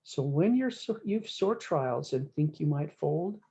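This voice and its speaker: A woman's voice: low-pitched, expressive, a little slow, warm tone, dull audio. A woman storyteller reading a rhyming cowboy-dialect storybook aloud in a folksy way, drawing out words for emphasis. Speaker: storyteller reading a picture book.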